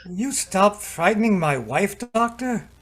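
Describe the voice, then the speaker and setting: Man acting a slow, swooping elderly husband. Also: sits high, animated, fairly bright tone, full character voice. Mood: indignant. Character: ailing elderly husband, cantankerous, then boisterously cheerful. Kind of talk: amateur radio drama acting.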